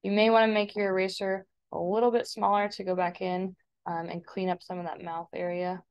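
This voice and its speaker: A woman instructor talking informally through a step-by-step software tutorial as a screen-recording voice-over. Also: medium pitch, level tone, a little fast, clear, resonant tone, dull audio.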